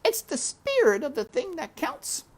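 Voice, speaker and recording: Young princess for a storybook, voiced by a woman: very high, lilting, polite and kindly. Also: huge pitch swings, measured pace, vocal fry, darkish tone, exaggerated voice. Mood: gracious. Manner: polite, well-spoken.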